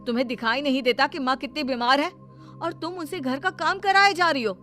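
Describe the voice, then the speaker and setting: A woman acts out a dutiful young husband in a cartoon bedtime story. He speaks firmly and sternly in a lightish, raised pitch, with soft music behind him. He is protective and ready to scold. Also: brisk. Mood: angry, scolding. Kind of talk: Hindi cartoon storybook acting.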